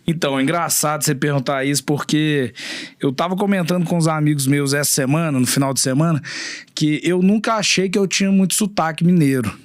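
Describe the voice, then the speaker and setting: A man from Belo Horizonte with a Minas Gerais accent, a chef guest telling stories in a relaxed, chatty way on a podcast. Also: on the high side, a little fast, no room sound, studio quality. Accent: Minas Gerais Portuguese. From Belo Horizonte, Brazil. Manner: relaxed storytelling, laughs often. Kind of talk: casual podcast chat.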